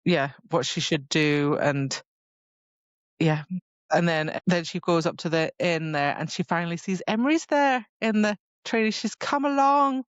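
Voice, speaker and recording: A woman's voice: lowish voice, unhurried, dry recording, lo-fi sound. A woman co-hosting a podcast, chatting casually about a book in a giggly, conversational retelling style. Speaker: podcast co-host. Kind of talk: casual book discussion chat.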